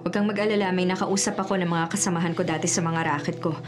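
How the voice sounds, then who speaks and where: A woman playing a cunning, determined forger in a Filipino radio drama, brisk and resolute, with a Filipino accent. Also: fairly low pitch, steady tone, natural voice. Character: cunning, determined female forger seeking justice. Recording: radio drama with loud music underneath. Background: dramatic music.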